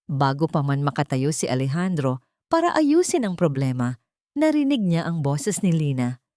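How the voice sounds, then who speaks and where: A woman's voice: sits low, sing-song, moderate pace, warm tone, no room sound, studio quality. A woman with a Filipino accent narrating a scripted drama story expressively.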